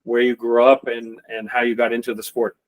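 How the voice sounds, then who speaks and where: Halting, conversational male interview host. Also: medium pitch, monotone, rough recording. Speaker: club founder, interview host. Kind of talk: casual interview.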